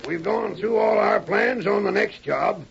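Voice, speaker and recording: A man playing a domineering, angry outlaw gang boss in an old radio western, barking threats in rough American cowboy speech with a gravelly voice. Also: sits high, unhurried, a crowd in the background, exaggerated voice. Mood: commanding. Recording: dull, lo-fi old radio recording.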